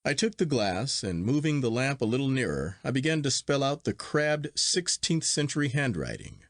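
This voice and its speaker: A man reading a literary story as an audiobook narrator, in a measured and expressive manner. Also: mid-range voice, no echo, clean studio sound.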